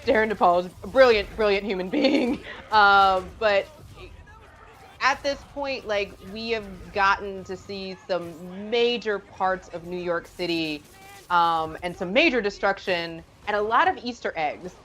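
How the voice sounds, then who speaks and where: Female live-show host, mid-pitched, gushing and enthusiastic interviewer. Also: measured pace, a bit bright.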